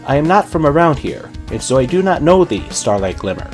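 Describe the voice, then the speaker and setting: A man's voice: a little high, very animated, brisk, faint music behind, voice pushed up. A man voicing a cloaked pony princess in clumsy disguise, regal and nervous, with formal, archaic speech in a storybook reading. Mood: stiff, loud.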